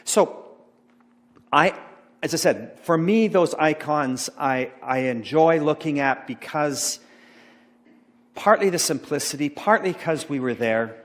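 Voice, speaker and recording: A man's voice: fairly high pitch, lively intonation. Middle-aged guy preaching off slides, lots of ums and restarts, big reverby church hall. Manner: rambling, halting, many fillers and restarts. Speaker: pastor. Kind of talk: informal sermon talk about slides. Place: church sanctuary.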